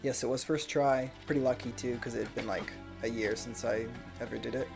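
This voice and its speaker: A young adult man streaming a game from home, chatting casually and reading viewer comments aloud in a soft, self-deprecating way, speaking into a desk microphone. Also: mid-range voice, fairly even intonation, a little fast, vocal fry. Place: home room. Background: game music.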